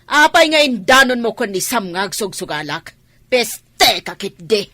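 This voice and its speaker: A woman's voice: fairly high pitch, big pitch swings, sharp tone, dry recording, heavily acted. A woman playing a scolding, evasive grandmother who gambles on the sly, flustered and quarrelsome in radio drama style. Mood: indignant. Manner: flustered, quarrelsome, drawn-out exclamations.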